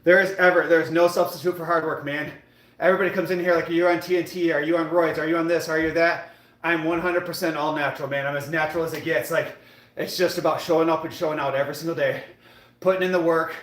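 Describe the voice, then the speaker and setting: A man's voice: on the high side, a bit bright. An American man in his late thirties chatting casually to livestream viewers during a workout, with a motivational, repetitive way of talking. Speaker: fitness live streamer. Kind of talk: casual livestream chat while working out. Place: indoor gym. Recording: echoey room.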